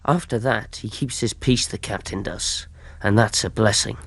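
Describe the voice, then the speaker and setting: A man's voice: on the low side, normal speed, vocal fry, fairly bright tone, slightly rough, no echo, full character voice. An actor playing an earnest, anxious innkeeper's boy in a radio play, plain, rustic and eager. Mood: subdued narration. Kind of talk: radio play acting and narration.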